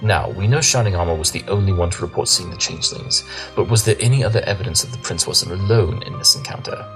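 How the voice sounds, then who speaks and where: A man's voice: low voice, quick pace, a bit bright, soft background music. A man playing a self-assured, eccentric pony detective in a cartoon fan drama, theatrical and articulate. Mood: intrigued, breezy. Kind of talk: fan audio drama acting.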